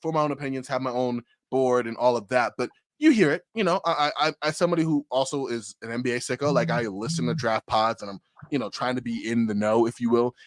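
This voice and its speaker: Pumped fast-talking man hosting a podcast. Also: mid-range voice, no echo, clean studio sound. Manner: hyped, energetic, talks fast with restarts. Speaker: podcast host. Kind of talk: casual sports podcast chat.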